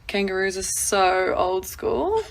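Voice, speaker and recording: Australian woman, casual story to camera, deadpan humor. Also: medium pitch, takes it slowly, vocal fry, a little hiss or hum.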